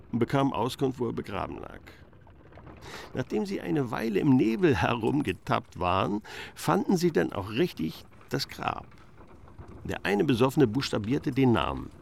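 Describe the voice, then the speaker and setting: An actor playing a genial cemetery caretaker, a dry raconteur telling anecdotes in a radio drama. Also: male voice, mid-range voice, creaky, a little hiss or hum.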